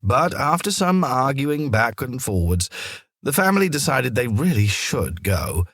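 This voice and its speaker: A man reading a story aloud as a narrator, in a measured, expressive storybook manner. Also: mid-range voice, no room sound, studio quality. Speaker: storyteller, audiobook reader.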